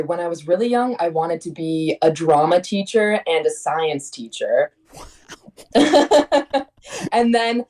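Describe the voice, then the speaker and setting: A young woman from Connecticut chats casually as an interview guest. Her voice sits on the low side and sounds mellow and warm. She rambles, restarts her sentences, and laughs now and then. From Connecticut, USA. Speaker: singer-songwriter guest.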